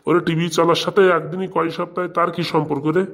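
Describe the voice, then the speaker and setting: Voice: medium pitch, level tone, quick pace, close to an everyday voice. An actor voicing an exasperated, scolding village schoolmaster in a comic cartoon, sarcastic and rustic, with a rural Bengali dialect.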